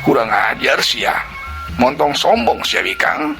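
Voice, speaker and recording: A man's voice: mid-range voice, very animated, unhurried, creaky, over music, voice pushed up. A man voicing a brash, cornered male bandit in a spoken storybook, coarse and defiant.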